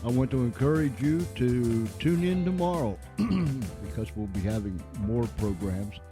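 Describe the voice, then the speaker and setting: A man's voice: medium pitch, lively intonation, measured pace, over music. A man hosting a radio show, giving an informal sign-off to listeners.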